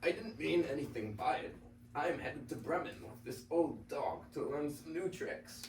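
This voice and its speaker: A man's voice: a little high, moderate pace, natural voice. A teenage boy playing an old worn-out donkey with musical dreams, joking and punning in a reader's theater performance. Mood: jokey, encouraging. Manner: jokey, punning. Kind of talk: reader's theater script reading. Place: high school classroom. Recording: roomy, distant classroom recording, faint noise.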